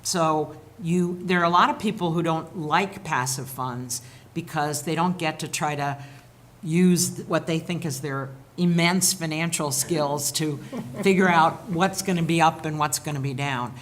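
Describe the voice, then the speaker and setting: A woman on a panel explains investing in plain, patient terms. Her voice sits low for a woman. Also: a little slow. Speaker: panelist, retirement finance expert. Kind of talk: explaining to an audience. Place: event room with audience.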